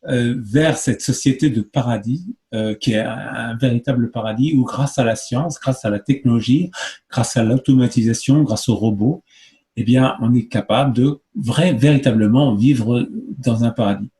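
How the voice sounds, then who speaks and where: Interview guest, man in his fifties from France, hesitant earnest delivery, mid-pitched voice. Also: normal speed, warm tone. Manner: hesitant, many fillers, earnest explaining. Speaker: guest, movement spokesman. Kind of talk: conversational interview answers.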